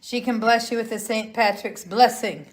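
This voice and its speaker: A woman's voice: a little high, moderate pace, a little hiss or hum. Chatty middle-aged woman hosting. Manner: chatty, upbeat, hosting a family livestream. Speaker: host. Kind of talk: casual chat.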